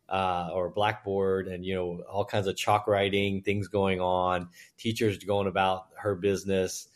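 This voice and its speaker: A man in his forties, born in China and raised in Texas, whose first language was Chinese, telling his life story as a guest in a casual interview, halting and full of fillers. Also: on the low side. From born in China, raised in Houston, Texas. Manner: relaxed, halting, with many fillers and restarts. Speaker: guest, private equity managing partner.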